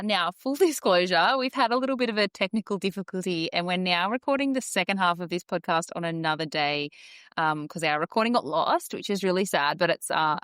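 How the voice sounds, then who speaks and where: A woman speaks as a podcast host in a casual interview style. Her voice is a little low for a woman, and it is recorded dry with hardly any room sound. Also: a bit bright, dry recording.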